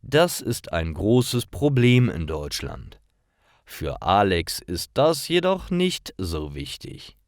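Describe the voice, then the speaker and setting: Slow, expressive low-voiced male narrator. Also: dry recording, studio quality. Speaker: narrator of stories for language learners. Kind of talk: scripted narration of simple learner stories.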